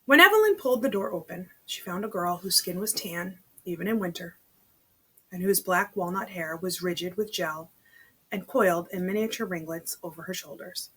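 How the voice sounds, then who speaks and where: A woman's voice: on the low side, normal speed, pushed and bright, slightly rough, poor audio. A woman reading a story aloud informally, breaking off for conversational asides to her listener. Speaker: amateur read-aloud reader. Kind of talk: reading a novel aloud with chatty personal asides.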